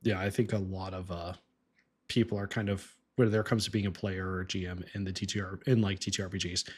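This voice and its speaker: A man hosting a podcast, chatting casually with frequent "like" and quick affirmations. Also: sits low, flat delivery, breathy edge. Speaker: podcast host. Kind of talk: casual interview chat.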